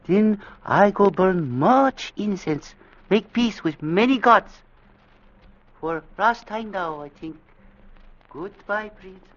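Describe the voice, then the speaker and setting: A man playing a fatalistic, devout ship's cook who speaks halting broken English deferentially in an old radio drama. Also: sits high, lively intonation, fairly bright tone, heavily acted. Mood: solemn, fatalistic. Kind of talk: 1940s-50s radio drama acting. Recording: muffled vintage radio recording.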